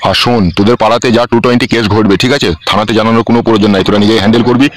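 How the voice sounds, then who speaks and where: A man's voice: lowish voice, level tone, very fast, close to an everyday voice. A man voicing a bossy, swaggering, sleazy village politician in a comedy cartoon. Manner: swaggering, commanding.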